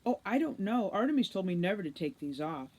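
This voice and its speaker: A woman's voice: mid-range voice, big pitch swings, fairly quick, a little mellow, pitched above the performer's own voice. A woman reading a storybook, voicing a vain, gushing, teasing teenage girl captor. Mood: vain chatty.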